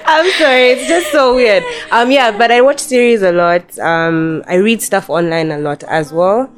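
A woman's voice: mid-range voice, normal speed. Giggly young woman with a nasal cold. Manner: giggly, chatty, laughs often. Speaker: podcast guest. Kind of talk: casual podcast chat.

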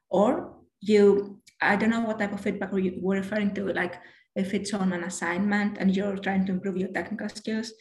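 A woman with a low voice gives careers advice in a measured, reflective way, often pausing to correct herself. Her pitch stays fairly flat and even. She sounds like she is in an echoey room. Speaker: guest.

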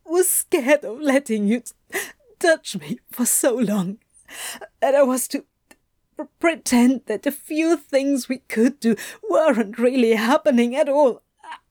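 A woman's voice: sits high, a little mellow, no room sound, studio quality. A woman voicing an anxious, guilt-ridden male angel who is near tears and stammers, repeating his words. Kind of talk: audiobook fan fiction reading.

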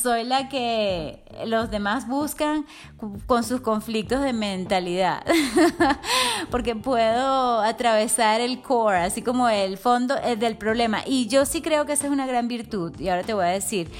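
Upbeat middle-aged woman, higher voice. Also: animated, a little slow. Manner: enthusiastic, affectionate, chatty. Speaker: wellness coach podcast host. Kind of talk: motivational monologue.

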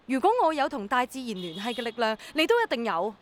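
An actress playing a spirited young woman in a radio drama, with a colloquial, expressive Hong Kong Cantonese delivery. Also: fairly high pitch, quick pace, natural voice. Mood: earnest encouraging. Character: spirited young woman, hesitant but willing rain girl.

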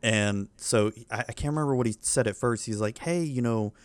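A man's voice: medium pitch, brisk, clear, resonant tone, no echo. A man in his thirties, a podcast co-host with kids, chatting casually and telling rambling, profane stories. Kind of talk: casual chat.

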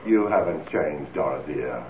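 Ghost lover in a 70s radio play, pleading and smooth, slow deep voice, muffled old recording. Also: male voice, lively intonation, a little mellow. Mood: wooing. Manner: pleading, wooing, dramatic. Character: ghost of a two-timing man, pleading, smooth-talking seducer. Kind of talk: 1970s radio drama acting.

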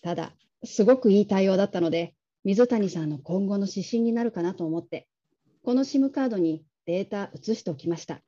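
A considerate female manager played by an actress with an extremely soft, dark tone. She speaks in a gentle, measured, courteous way, as in a radio drama. The sound is slightly muffled. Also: mid-range voice, animated, close to an everyday voice. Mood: earnest, measured. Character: polite, caring, discreet female call-centre manager.

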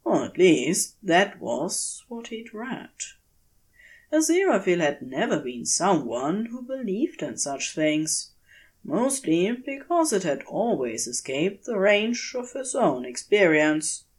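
A woman reading scripted fiction aloud as an audiobook narrator, in a gentle, expressive, measured storytelling manner. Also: on the low side. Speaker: audiobook reader of fan fiction.

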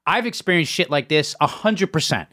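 A man's voice: fairly high pitch, moderate pace, dry recording, clean studio sound. A man in his thirties co-hosting a podcast, chatty and casual. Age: 30s. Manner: animated, casual, interjecting. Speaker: podcast co-host.

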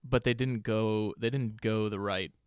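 A man's voice: lowish voice, steady tone, unhurried, smooth, no room sound, studio quality. A young man co-hosting a podcast, chatting casually about movies, rambling and trailing off, laughing often. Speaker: podcast co-host. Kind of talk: casual chat.

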